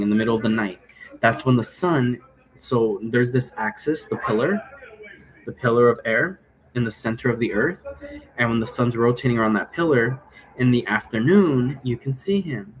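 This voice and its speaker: A man hosting in a casual, rambling, explanatory way, halting often and checking in with the listener. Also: medium pitch, low-quality recording. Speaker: host explaining role-play game rules. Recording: noticeable background noise.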